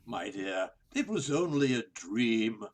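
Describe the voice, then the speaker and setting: An actor playing a kindly middle-aged doctor and father in a radio play, gentle and courteous in manner. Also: mid-range voice, sing-song, slow, a little hiss or hum. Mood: soothing. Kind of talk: theatrical radio play acting.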